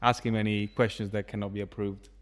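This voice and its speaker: A man's voice: lowish voice, flat delivery, fast talker. A man hosting a podcast, chatting casually and joking with his guest. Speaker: podcast host. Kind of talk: casual chat. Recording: long reverb.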